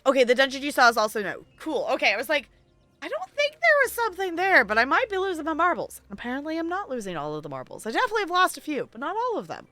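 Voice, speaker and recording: Bright, animated woman streaming a game. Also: high-pitched, fast talker, no echo. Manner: playful, rambling, self-deprecating. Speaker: live streamer playing an online game. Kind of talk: casual gaming stream chat. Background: music.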